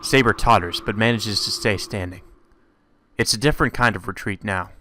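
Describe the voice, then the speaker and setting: A man's voice: fairly low pitch, moderate pace, pushed and bright, slight background noise. A man narrating a visual novel aloud in a dramatic read-aloud style, as a let's play host. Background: music.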